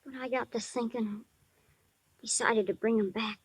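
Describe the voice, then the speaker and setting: An actor playing a shy but tough-talking ten-year-old boy in 1950s radio drama, with halting, slangy speech. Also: mid-range voice, fairly even intonation, breathy edge, lightly acted. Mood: sheepish, guilty. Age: child figure, almost eleven. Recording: dry vintage 1950s radio recording.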